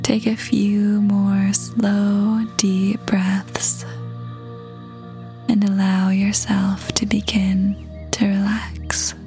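A woman guides a meditation in a gentle, breathy half-whisper. She speaks very slowly, leaving long pauses, and her pitch barely moves at all. Clear music plays behind her calm voice. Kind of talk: guided meditation, slow soothing narration. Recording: voice over prominent background music.

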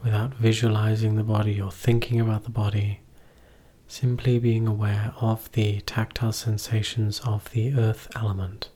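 Male meditation teacher, husky voice, even and unvarying pitch, gentle short phrases, long pauses. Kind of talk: guided meditation.

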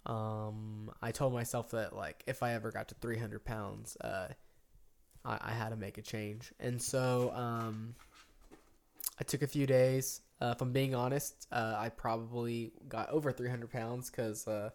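A man around thirty, co-hosting a casual chat podcast with a middle-range voice. He talks at length, filling pauses with ums and uhs and restarting sentences. Now and then he lifts into lively, excited bursts. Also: mid-range voice, vocal fry. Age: 30s. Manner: rambling, lots of ums and restarts, animated bursts. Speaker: podcast co-host.

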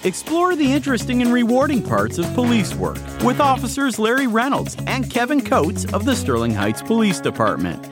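A woman announcer reads the show's intro in a sweeping, very lively voice. Clear music plays underneath her the whole time. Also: on the high side, moderate pace, hardly any pauses. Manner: lively, promotional delivery. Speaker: show announcer. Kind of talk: scripted promo voice-over.

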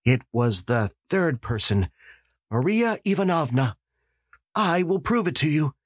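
A man voicing an eager, excitable young detective who pleads insistently in a raised, high voice. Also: a little slow, slightly rough, dry recording, studio quality, heavily acted.

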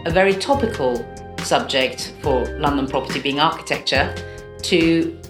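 A woman hosting an interview, asking questions in a measured, friendly way. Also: deep voice, roomy sound, music in the background. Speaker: host.

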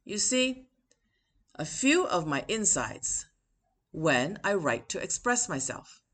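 A woman who hosts her own podcast, reading one of her personal essays aloud in a measured, deliberate way with emphatic pauses. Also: on the low side, sing-song, fairly bright tone, husky. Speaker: author and podcast host.

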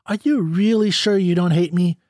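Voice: mid-range voice, moderate pace, a little mellow, dry recording, clean studio sound, pitched above the performer's own voice, natural voice. A reader voicing a young ranch woman who sounds sweet, pleading and girlish.

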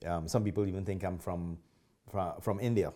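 A man in his fifties with a Trinidadian accent and a deep, soft-edged, mellow voice. He talks in a polite, conversational way, as a podcast guest telling his own story. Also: steady tone, normal speed. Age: 50s. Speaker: podcast guest, martial artist. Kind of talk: casual interview chat.

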